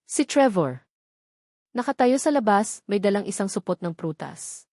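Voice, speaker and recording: A woman narrating a scripted romance story in a measured, dramatic storytelling manner. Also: medium pitch, animated, darkish tone, dry recording, clean studio sound.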